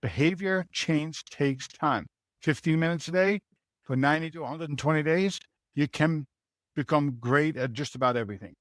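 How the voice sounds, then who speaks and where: A man's voice: a little high, moderate pace, a bit bright, no echo, studio quality. A man with a Dutch accent answering interview questions as a leadership mentor, explaining earnestly and often adding a rhetorical "right?". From Netherlands.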